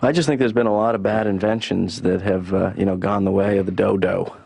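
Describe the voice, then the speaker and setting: A drifting grown son in an animated sitcom, low and gravelly with a creaky mumble. He rambles on in a deadpan way, full of hesitant fillers. The sound is close and dry. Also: brisk, no room sound, full character voice. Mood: deflecting.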